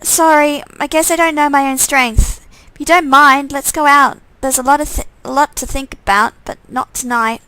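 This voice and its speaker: Visual novel heroine, read by a woman: young, troubled, sardonic, incredulous, high and bright. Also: fairly even intonation, moderate pace, hardly any pauses, dry recording, rough recording. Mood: resolute.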